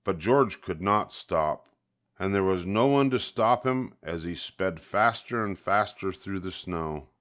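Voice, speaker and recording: An older man narrates a children's picture book in a low voice. He goes slowly and expressively, drawing out the key words. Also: lively intonation, fairly bright tone. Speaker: storybook reader.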